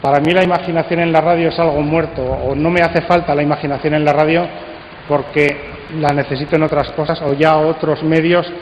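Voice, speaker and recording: A man giving a conference talk and leading a discussion, speaking deliberately with pauses. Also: fairly high pitch, flat delivery, lo-fi sound. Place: conference hall. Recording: echoey hall, faint noise.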